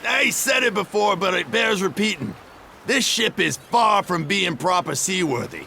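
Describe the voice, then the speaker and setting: A man playing a gruff, seasoned seafarer in a video game, gravelly and folksy with clipped dialect phrasing. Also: high voice, expressive, moderate pace, bright, forceful tone, a little hiss or hum, exaggerated voice. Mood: gruff advising. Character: gruff seasoned male Norman seafarer, plain-spoken elder.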